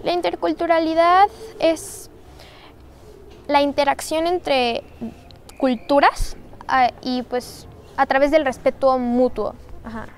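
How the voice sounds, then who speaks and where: A woman's voice: high voice, steady tone, takes it slowly, pauses often. A woman giving brief interview answers in a documentary. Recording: faint background ambient noise.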